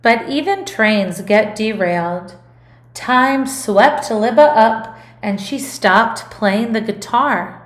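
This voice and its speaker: A woman music teacher reading a picture book aloud in an expressive, deliberate storytelling delivery. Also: a little high, reverberant.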